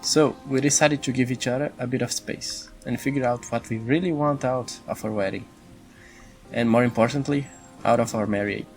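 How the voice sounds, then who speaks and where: A man playing a reflective, wistful elf groom-to-be who confides in measured visual novel dialogue. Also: medium pitch, darkish tone, close to an everyday voice. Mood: earnest. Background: background music.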